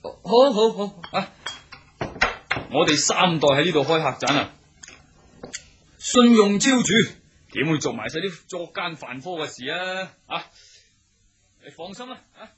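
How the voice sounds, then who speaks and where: Eager, bright-voiced chatty innkeeper. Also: male voice, high voice, lively intonation, unhurried, long pauses, a little hiss or hum. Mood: placating. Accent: Hong Kong Cantonese. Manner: deferential, chatty, with many hesitant interjections. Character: eager, talkative, obliging male innkeeper serving travellers. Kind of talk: Cantonese radio drama acting.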